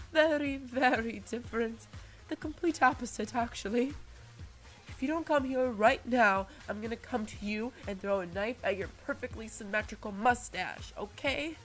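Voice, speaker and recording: A woman plays a young princess in a video game parody, pushy and desperate. She stumbles through her demands and threats in a halting stammer. Music runs behind her voice. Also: on the high side, voice pushed up, close to an everyday voice.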